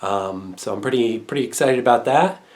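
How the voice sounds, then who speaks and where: A man's voice: medium pitch, moderate pace, darkish tone. A young man talking casually in a vlog, his voice nasal and congested, rambling with lots of fillers and restarts. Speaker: English teacher abroad.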